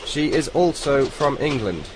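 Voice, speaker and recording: A man's voice: mid-range voice, lively intonation, a little slow, natural voice. An actor playing an arrogant German spy posing as an Englishman, with a German accent and a pompous, stiffly formal manner. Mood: haughty outrage. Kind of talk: audio comedy drama acting. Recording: train ambience and noise behind.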